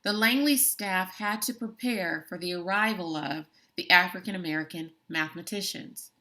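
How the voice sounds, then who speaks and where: A woman teacher reads a book chapter aloud for her students at a measured, deliberate pace. She stresses important words and now and then breaks off for a brief comment of her own. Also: fairly low pitch, sharp tone. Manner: slow, deliberate reading with emphatic words and brief asides. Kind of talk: classroom read-aloud of a book.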